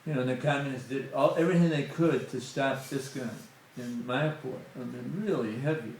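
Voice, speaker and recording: A man's voice: mid-range voice, moderate pace, darkish tone, slight background noise. A middle-aged man giving a conversational spiritual talk at a temple gathering, full of "you know" asides. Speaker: guest speaker at a temple satsang. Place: temple hall. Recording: very echoey hall.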